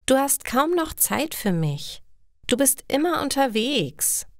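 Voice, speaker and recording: A woman's voice: high voice, very animated, slow, no room sound, studio quality, voice pushed up, heavily acted. A woman voicing a neglected young girlfriend in a storybook, speaking in a plaintive, reproachful manner. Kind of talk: learner storybook dialogue.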